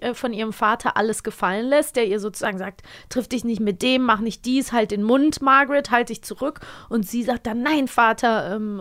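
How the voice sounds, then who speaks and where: A woman co-hosting a magazine about radio plays, talking casually and thinking aloud as she reviews. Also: a little high. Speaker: radio drama magazine co-host.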